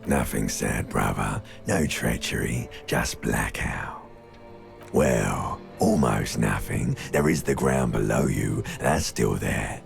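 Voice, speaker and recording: An ancient, primal creature of the mind, speaks in an abyssal, heavily croaking growl. It is slow, nihilistic and mocking, with music clearly behind it. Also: male voice, full character voice. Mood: soothing menace. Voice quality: extremely deep, croaking, gravelly growl. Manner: slow, drawn-out, ominous.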